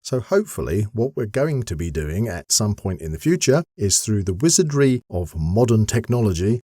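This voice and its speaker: Low-voiced man hosting a podcast. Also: mellow, husky, no echo, studio quality. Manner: upbeat, encouraging, chatty. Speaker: podcast host. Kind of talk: production update podcast, addressed to listeners.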